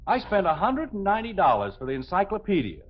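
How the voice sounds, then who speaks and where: A middle-aged American suburban father in a 1950s radio comedy, scolding and sarcastic. His voice sits a little high and swings widely as he blusters about thrift, all on a muffled vintage broadcast. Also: huge pitch swings, fast, slight background noise. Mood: lecturing. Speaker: actor in a radio sitcom.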